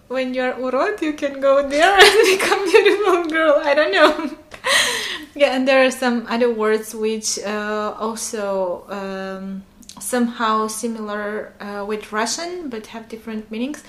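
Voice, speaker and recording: A young woman from Armenia, a podcast guest chatting casually and laughing often between fillers. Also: a little high.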